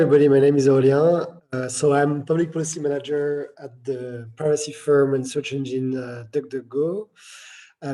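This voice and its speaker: Mellow, even-toned man. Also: mid-range voice. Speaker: course participant, policy manager. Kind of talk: casual self-introduction. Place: online class call.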